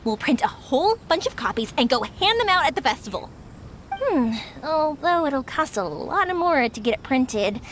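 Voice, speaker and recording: A woman's voice: high voice, very animated, normal speed, a bit bright, a little hiss or hum. A woman voicing an upbeat, encouraging young shopkeeper with a big-sister way of talking. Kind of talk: game voice acting.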